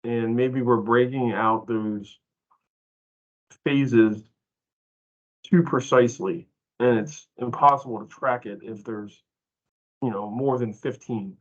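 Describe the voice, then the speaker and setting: A man in a casual work meeting, speaking haltingly and in a questioning way as he reasons through his point. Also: medium pitch, fairly even intonation. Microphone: online meeting call. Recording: dull, lo-fi online meeting audio.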